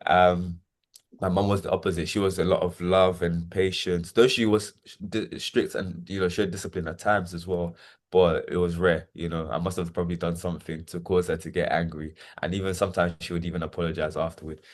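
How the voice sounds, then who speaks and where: Man, 20s, from Nigeria; low-voiced, halting testimony. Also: level tone. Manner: hesitant, repeats words, many fillers. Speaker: interview guest. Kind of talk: reflective personal testimony.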